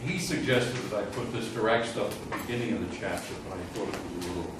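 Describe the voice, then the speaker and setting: A man gives an informal university lecture in a very echoey room, picked up at some distance from the microphone. He speaks haltingly at a middle pitch, thinking aloud and frank about what he is unsure of. Noticeable room noise sits around his voice. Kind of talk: informal classroom lecture with student questions. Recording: roomy echo, distant mic, loud room noise.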